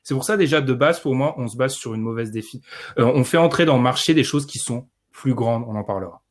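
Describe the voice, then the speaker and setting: A man's voice: mid-range voice, brisk. Man explaining with wry confidence. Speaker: podcast co-host. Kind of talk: casual podcast discussion.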